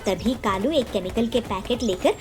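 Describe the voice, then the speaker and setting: A woman's voice: a little high, moderate pace, music in the background. A woman narrating a scripted children's story as the storyteller.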